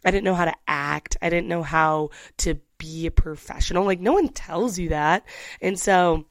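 A woman's voice: lowish voice, moderate pace, dry recording, clean studio sound. A woman in her twenties chatting candidly to the camera, with lots of restarts and asides. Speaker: vlogger. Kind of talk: casual storytelling to camera.

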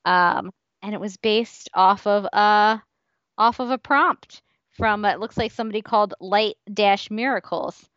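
A woman's voice: mid-range voice, unhurried, fairly bright tone, no room sound, muffled recording. A woman co-hosting a fan podcast in casual, amused chat. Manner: giggly, amused. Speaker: podcast co-host.

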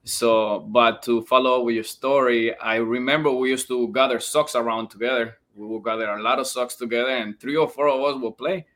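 A man in his thirties with a Colombian accent speaks at a middle pitch. He is a native Spanish speaker, and his phrasing is loose and informal. He tells personal stories as a guest on a casual podcast. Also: fairly even intonation, fairly quick, few pauses, a bit bright. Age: 30s. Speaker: podcast guest, former soccer player.

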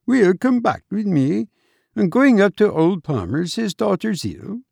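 Male narrator as storybook Irish doctor, raised pitch, hearty, blunt, scoffing, teasing, emphatic. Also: sing-song, measured pace, gravelly, a little mellow, dry recording, clean studio sound, heavily acted. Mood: jovial, teasing. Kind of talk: dramatised storybook reading.

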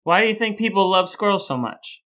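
Higher-voiced man chatting wryly. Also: animated, a little fast, bright, forceful tone. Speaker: podcast co-host. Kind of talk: casual chat with mock-serious explaining.

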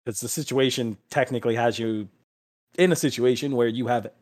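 A man's voice: mid-range voice, brisk, a little mellow. Casual man running a tabletop game. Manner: profane, informal. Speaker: game master.

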